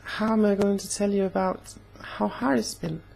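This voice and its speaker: A young woman chats in a personal vlog with a husky, slightly hoarse voice. There is a good deal of fry in it. She speaks earnestly and haltingly, at a medium pitch. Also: steady tone, a little mellow, rough recording. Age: young adult. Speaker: vlogger.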